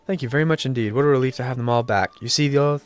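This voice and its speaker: A man's voice: mid-range voice, rapid-fire, clear, resonant tone, faint music behind, natural voice. A man reading a polite, scholarly professor. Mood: earnest. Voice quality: nasal. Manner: reading game dialogue aloud in a formal tone. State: sick, congested. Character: polite, scholarly male professor, formal and eager.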